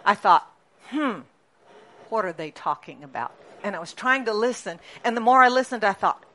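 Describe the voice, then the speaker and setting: A middle-aged woman pastor preaching a sermon in a lively, chatty way, often asking the congregation questions. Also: a little high.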